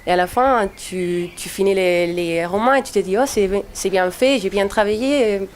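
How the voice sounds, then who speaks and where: A woman's voice: medium pitch, normal speed, a little hiss or hum. Hesitant young woman. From Spain. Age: young adult. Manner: hesitant, many restarts. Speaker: international student. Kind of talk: interview answers.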